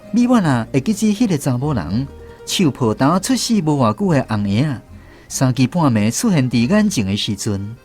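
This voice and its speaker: A male narrator with a Taiwanese accent tells a scripted radio story. His mid-pitched voice moves with animated intonation, and quiet music plays beneath him. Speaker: radio drama host and narrator.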